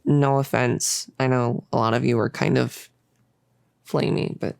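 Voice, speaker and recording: Creaky, rambling, earnest cultist played by a voice actor. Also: mid-range voice, fairly even intonation, darkish tone, no echo, clean studio sound. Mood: curious, gentle. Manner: halting, rambling, casual, awkwardly optimistic. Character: earnest, rambling, chirpy cultist scientist, gently bossy. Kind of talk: roleplay audio monologue, intimate casual delivery. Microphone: close, dry studio-style microphone.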